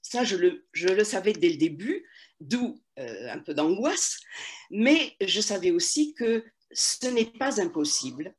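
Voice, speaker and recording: An older woman, a literary translator, answering interview questions slowly and thoughtfully, pausing often and restarting phrases. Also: medium pitch, big pitch swings. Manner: slow, measured, many hesitations and restarts.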